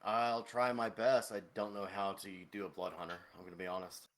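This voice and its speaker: A man's voice: fairly low pitch, fairly quick. A man chatting casually as a player in a tabletop game.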